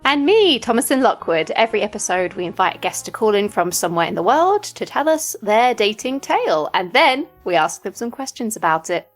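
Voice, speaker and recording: A woman's voice: medium pitch, big pitch swings, brisk, hardly any pauses, faint music behind. A woman co-hosting a podcast in a playful, conversational way, often joking and teasing. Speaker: podcast co-host.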